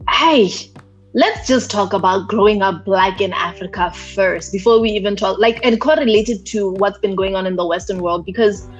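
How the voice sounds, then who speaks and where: A young woman from Botswana, co-hosting a podcast in casual chat, animated and slangy, acting out quotes as she talks. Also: mid-range voice, moderate pace, slightly rough. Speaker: podcast co-host. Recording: slightly dull lo-fi sound with faint music.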